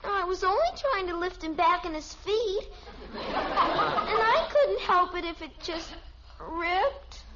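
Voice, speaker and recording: A mischievous little American tomboy from a 1950s radio comedy. Her childlike voice is very high and swings expressively as she pleads her innocence. It sounds like a dull, slightly noisy old broadcast. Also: expressive, slow, exaggerated voice. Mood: defensive. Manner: plaintive, defensive, childlike. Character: young mischievous tomboy daughter, a little schoolgirl. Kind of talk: 1950s radio sitcom acting.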